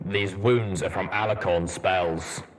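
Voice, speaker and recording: A man voicing a stern, analytical armored stallion soldier in a story reading, speaking deliberately. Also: low voice, steady tone, slight background noise. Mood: grave, analytical. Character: grave armored male pony soldier, measured and analytical. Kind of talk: dramatised audiobook reading.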